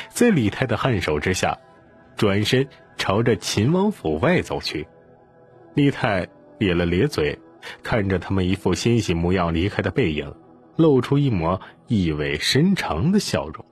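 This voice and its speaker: A man's voice: low voice, breathy edge. A man reading an audiobook as a scripted, dramatic story narration.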